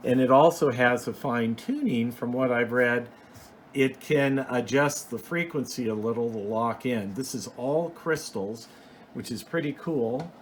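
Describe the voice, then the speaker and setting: A man's voice: medium pitch, unhurried. Man casually showing gear, noisy background. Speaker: hobbyist video host. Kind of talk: casual unscripted show-and-tell. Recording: clear background noise.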